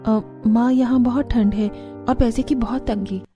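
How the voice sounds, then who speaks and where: A woman's voice: fairly high pitch, steady tone, moderate pace, dark tone, dull audio, faint music behind, close to an everyday voice. A woman voicing an earnest, resourceful young village wife and mother in a Hindi cartoon story. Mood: hesitant.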